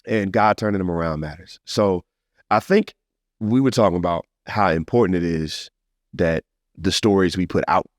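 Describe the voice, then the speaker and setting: A man's voice: low voice, normal speed. An American man speaking as a podcast host, chatting casually to his listeners. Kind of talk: podcast intro and casual chat.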